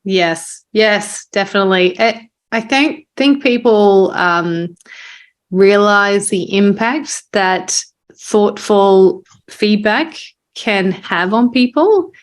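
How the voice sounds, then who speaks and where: A woman's voice: medium pitch, slow pace. A woman hosting a podcast interview in a casual, informal conversational way. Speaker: podcast host.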